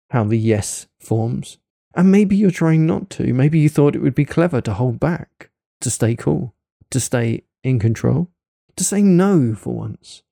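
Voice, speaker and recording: A man guides a hypnosis session in a slow, coaxing, deliberate way. His voice is very dark and mellow with a gravelly edge. The recording is dry and close. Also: mid-range voice, no echo. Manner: rapid-fire questions. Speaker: hypnosis narrator.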